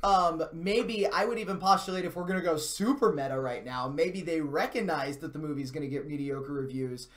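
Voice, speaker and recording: A man hosting a video game show, giving casual, unscripted commentary on games and films in an animated way. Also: a little high, brisk, hardly any pauses.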